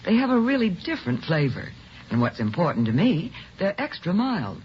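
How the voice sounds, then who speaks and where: A man playing a relaxed, satisfied celebrity giving a scripted 1950s radio testimonial. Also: high-pitched, normal speed. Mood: pleased. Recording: muffled vintage radio recording, faint noise.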